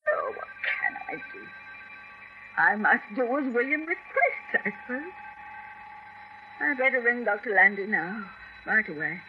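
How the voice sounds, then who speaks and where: A woman playing a distraught, sharp-tongued, long-suffering wife in a radio drama, plaintive and dramatic. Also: a little high, big pitch swings, a little slow, long pauses. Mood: tearful resignation. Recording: dull, noisy vintage radio recording.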